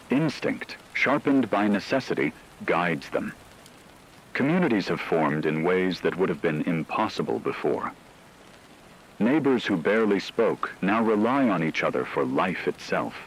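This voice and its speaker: Grave, slow, deep-voiced male narrator. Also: a bit bright, a little hiss or hum, rough recording. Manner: grave, measured, portentous delivery with deliberate pauses. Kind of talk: dramatic scripted narration in the manner of a radio story.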